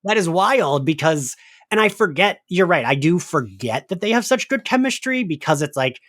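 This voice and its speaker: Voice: medium pitch, big pitch swings, moderate pace, fairly bright tone, no echo. A podcast host chats casually in a loose fan-discussion style, peppering sentences with "like". The voice sits in the middle of the range, and the sound is dry, with hardly any room.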